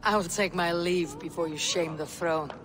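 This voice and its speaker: An actress playing a proud, steadfast Viking woman warrior in a video game, speaking in a measured, formal way. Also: lowish voice, fairly even intonation, close to an everyday voice. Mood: proud, resolute. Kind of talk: dramatic game cutscene acting.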